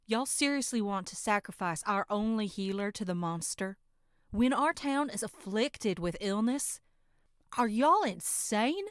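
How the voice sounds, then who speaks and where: A woman's voice: medium pitch, expressive, a little slow, no echo, close to an everyday voice. A woman playing an exasperated, level-headed villager with a folksy, sarcastic manner in a horror roleplay audio drama.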